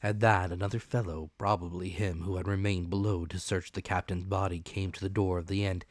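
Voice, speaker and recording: Male audiobook narrator: low-pitched, dramatic scripted delivery, dry sound. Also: flat delivery, brisk, no room sound.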